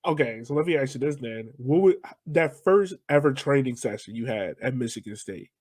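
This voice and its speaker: A man's voice: medium pitch, lively intonation, moderate pace, a little mellow, husky, no echo. A man from Virginia with an American accent, interviewing a guest as a podcast host in a casual, conversational style. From Virginia, United States.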